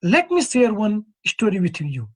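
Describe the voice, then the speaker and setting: A man in his fifties from East Nepal with a Nepali accent, giving a measured, earnest conference presentation as an earthquake engineer. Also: sits high, huge pitch swings, breathy edge. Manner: measured, earnest, poses questions to the audience.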